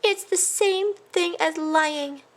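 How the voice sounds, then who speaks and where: A woman voicing a guilty, timid little boy mouse in a children's storybook, squeaky and stammering with remorse. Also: takes it slowly, a bit bright, exaggerated voice. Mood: unhappy confessing. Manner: stammering, hesitant.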